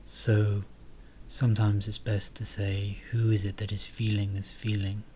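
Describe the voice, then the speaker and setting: A man guides a meditation in a fairly low, very even voice. He speaks slowly and softly, pausing often as he gives calm instructions. There is some noise in the recording. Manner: calm, hesitant, unhurried phrasing with long pauses.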